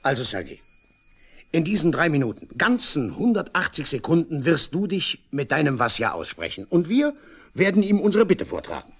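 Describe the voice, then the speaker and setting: Bossy, animated, husky man. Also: on the high side, normal speed, exaggerated voice. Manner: commanding, officious, persuasive. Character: bossy, self-important local man taking charge. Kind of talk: 1970s East German radio play acting.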